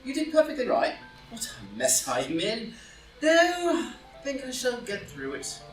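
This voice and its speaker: A woman's voice: fairly high pitch, wildly swooping pitch, unhurried. A woman playing a witty English lord, a loyal and flustered friend, with theatrical emphasis in a staged play reading. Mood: brisk, harried. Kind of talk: stage play read aloud as audio drama. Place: indoor room, live in-person reading. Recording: roomy live group reading, distant mic, music.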